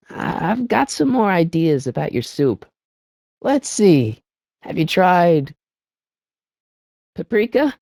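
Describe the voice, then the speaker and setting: A man's voice: high-pitched, no echo, clean studio sound, full character voice. A male actor playing an earnest, wisecracking teenage boy in a video game, with a youthful voice and a hesitant, slangy delivery. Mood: coaxing, improvising. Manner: hesitant, stammering asides, wry slangy delivery. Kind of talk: video game character lines.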